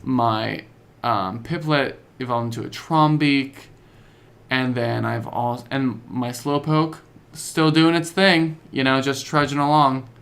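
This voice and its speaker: A man's voice: mid-range voice, steady tone, slow pace, gravelly, a bit bright. A man chatting casually as a podcast co-host about video games, telling stories in slangy, informal language.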